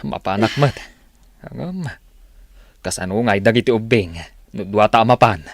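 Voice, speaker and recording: A fairly low, close and dry voice acted by a man. He is a concerned village husband and father in a radio drama. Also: expressive, moderate pace, no echo. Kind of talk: Ilocano radio drama acting.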